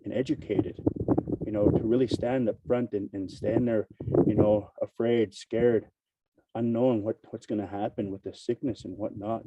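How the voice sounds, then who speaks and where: A man with a raspy voice, hoarse from a cold, opening a conference with a spoken invocation and talking haltingly with many fillers. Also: fairly low pitch, fairly even intonation, mellow, recorded from a distance, highs cut off. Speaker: opening speaker.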